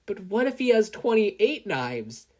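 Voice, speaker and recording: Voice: mid-range voice, sing-song, a little slow, a little mellow, voice pushed up. A performer voicing a nervous, earnest teenage boy hero trainee in an audio story. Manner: anxious, earnest, rambling questions.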